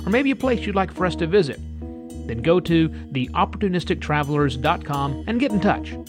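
A man's voice: fairly high pitch, sing-song, brisk. A man co-hosting a travel podcast, narrating with dry, wry humor. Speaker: podcast co-host. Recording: narration over background music. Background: theme music.

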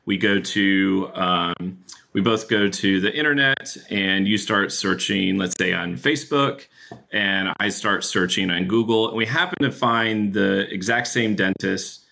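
A man's voice: fairly low pitch, unhurried, gravelly, pushed and bright. A man speaking as an executive guest on a podcast, explaining things in a conversational way.

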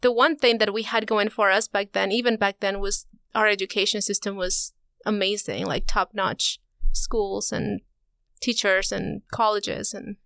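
A woman's voice: mid-range voice, level tone, a little slow, creaky, a bit bright, smooth, dry recording. Young woman from venezuela chatting in a studio interview, lots of "you know", dry close sound. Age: young adult. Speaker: college student guest. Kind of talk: casual interview answers.

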